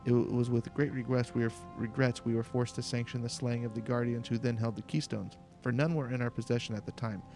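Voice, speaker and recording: A solemn, courteous elder from a fantasy game, read out by a man. The speech is formal and measured, in a mid-range voice with some gravel. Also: mid-range voice, steady tone, a little mellow, soft background music, lightly acted. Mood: grave.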